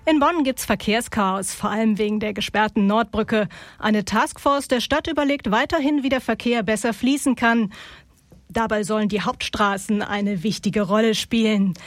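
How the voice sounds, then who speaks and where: A woman presenting regional news on the radio in a measured, scripted studio read. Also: mid-range voice, no echo. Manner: measured, even delivery. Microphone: studio microphone.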